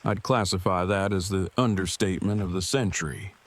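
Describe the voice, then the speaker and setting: A man's voice: low-pitched, fairly quick, no room sound, natural voice. An actor playing a nervous, wordy male survivor who speaks in stiff, formal phrasing for an audio drama. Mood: stiff, polite.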